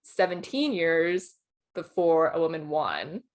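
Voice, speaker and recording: A woman's voice: deep voice, animated, slow. A woman co-hosting a casual film discussion, giving her opinions bluntly in an informal way. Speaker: co-host, film awards expert. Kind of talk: casual chat, film commentary.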